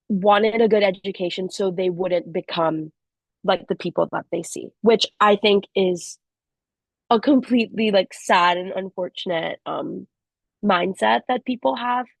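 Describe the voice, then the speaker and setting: Brief: low, gravelly young woman. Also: dry recording. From Philippines. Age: young adult. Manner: loose and chatty, many fillers and restarts. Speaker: panelist. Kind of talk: casual panel talk.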